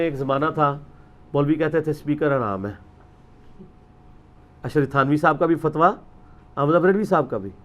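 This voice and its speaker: A Pakistani man with a slightly high voice speaks as a religious lecturer. He talks off the cuff, building an argument point by point and explaining as he goes, dropping English words into his speech. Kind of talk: informal religious lecture, extempore.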